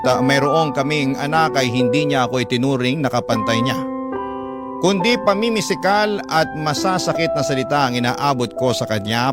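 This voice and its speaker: A man narrating a listener's letter in the first person as a scripted radio drama story. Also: mid-range voice. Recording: loud piano music under voice. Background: loud piano music.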